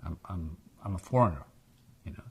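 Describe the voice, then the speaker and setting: An older man from Egypt with a foreign accent, reflecting haltingly in an oral history interview. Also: sits low, lively intonation, husky. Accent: foreign English accent.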